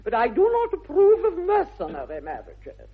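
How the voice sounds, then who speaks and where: An actress playing a haughty older aristocratic English aunt, grand and emphatic, in a 1960s radio comedy. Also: female voice, extremely high pitch, wildly swooping pitch, normal speed, full character voice. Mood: commanding. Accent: upper-class English. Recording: muffled, tinny vintage radio recording.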